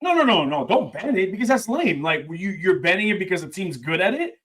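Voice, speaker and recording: Man, podcast co-host, slightly high voice, animated casual banter. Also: a little fast, crowd noise. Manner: animated, says "bro" a lot. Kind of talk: casual sports and debate chat.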